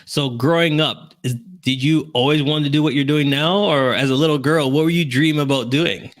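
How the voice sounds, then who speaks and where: An upbeat man with a fairly high voice, hosting a casual talk show. He chats in an animated, conversational way. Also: moderate pace. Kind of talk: upbeat casual interview chat.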